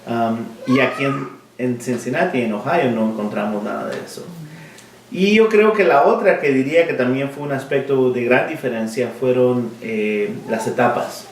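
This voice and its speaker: A man's voice: medium pitch, normal speed, a little hiss or hum. Guatemalan-raised-in-Miami man in his 40s, reflective interviewee, mixes in English words; roomy room, distant mic. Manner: thoughtful, searching for words, English words mixed in. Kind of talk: oral history interview.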